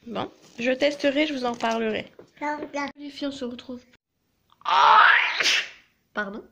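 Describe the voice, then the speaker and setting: A woman's voice: fairly high pitch, measured pace, vocal fry, slight background noise. A young woman vlogging casually at home, stuffy with a cold and hesitant, full of apologetic fillers. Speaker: family vlogger. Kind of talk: casual chatty vlog to camera.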